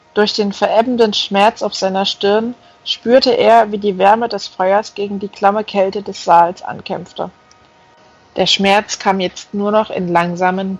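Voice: medium pitch, breathy edge, dull audio. A reader giving a scripted literary narration of a novel. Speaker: audiobook reader.

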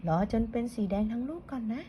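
A woman playing little mouse kids, storybook, impatient, whiny, high childlike voice, dull lo-fi sound. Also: quick pace, natural voice. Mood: eager. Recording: dull lo-fi with faint noise.

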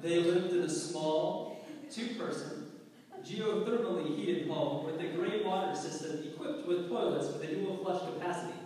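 A man narrating a puppet show as a storybook tale, with deadpan comic delivery. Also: high-pitched, normal speed. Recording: echoey hall, far from mic, music.

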